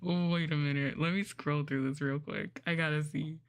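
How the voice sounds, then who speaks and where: A woman hosting a casual livestream, chatting to her viewers in an expressive, giggly manner with drawn-out exclamations. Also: deep voice. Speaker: livestream host reading scripts to chat.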